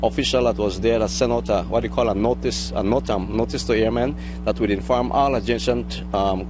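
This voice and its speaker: A middle-aged man with a Belizean accent giving an unscripted news interview, speaking haltingly and rephrasing as he goes. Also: on the low side, noisy background. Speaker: air traffic controller interviewee.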